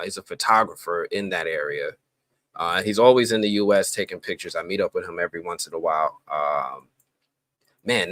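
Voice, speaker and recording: Bright, excitable man hosting a live stream. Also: low-pitched, dry recording. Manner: excitable, voice jumps up when greeting people; relaxed slang-heavy talk with many fillers. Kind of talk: casual live-stream chat greeting viewers.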